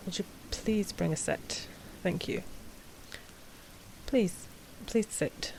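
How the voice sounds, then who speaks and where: A woman playing a polite, frazzled vampire coven leader in an audio roleplay, speaking formally with halting hesitations. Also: lowish voice, a little mellow, slight background noise, poor audio. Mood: flustered, courteous. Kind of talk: scripted audio roleplay drama.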